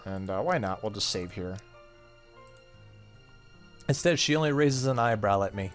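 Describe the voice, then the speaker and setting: Male gaming YouTuber, low register, reading story text aloud with offhand remarks, light music behind. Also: expressive, moderate pace. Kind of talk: let's play, reading game text aloud with casual comments. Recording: faint game music behind voice.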